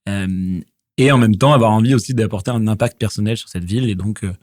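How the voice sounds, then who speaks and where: Low-voiced hesitant man in his thirties. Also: quick pace, a little mellow. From southwest France. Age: 30s. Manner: hesitant, many fillers and restarts. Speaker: guest, company founder. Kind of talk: casual conversational interview. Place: podcast studio.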